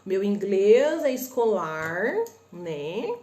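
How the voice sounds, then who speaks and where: A woman with a northern Paraná Brazilian accent explains things to viewers in a chatty live stream, often checking in with them and asking quick rhetorical questions. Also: medium pitch, reverberant. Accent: northern Paraná Brazilian Portuguese. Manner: chatty and animated, addresses viewers as "gente", many tag questions. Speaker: host of a live stream on shopping imports.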